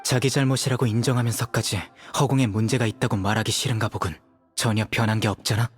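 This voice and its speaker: An actor playing a cool, stern young enforcer in a game, speaking in a measured, mocking way. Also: male voice, on the low side, fairly even intonation, slightly rough, faint music behind. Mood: scornful, confident. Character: cool, scornful young male enforcer of justice. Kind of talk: dramatic game voice acting.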